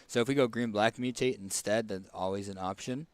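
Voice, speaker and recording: A man with a raspy, hoarse voice casually commenting on a card game as he picks cards, thinking aloud with fillers and restarts. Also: lowish voice, a little fast, no echo. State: hoarse, tickly throat. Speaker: streamer.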